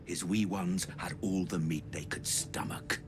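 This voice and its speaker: An actor playing a gruff, wry game trader who tells grim tales in a blunt, folksy way. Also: male voice, low voice, fairly even intonation, a little slow. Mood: grim storytelling. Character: gruff unhurried male trader, sardonic messenger telling grim soul stories. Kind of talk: video game character dialogue. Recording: constant noisy ambience behind voice.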